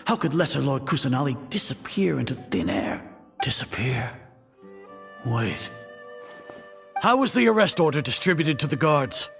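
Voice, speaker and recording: An imperious video game sage, gravelly and commanding. His pitch swings widely as he broods and barks in suspicion, with an unnerved edge under the authority. Music plays behind him. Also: big pitch swings, normal speed, pauses often, fairly bright tone. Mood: alarmed, brooding. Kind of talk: dramatic video game voice acting.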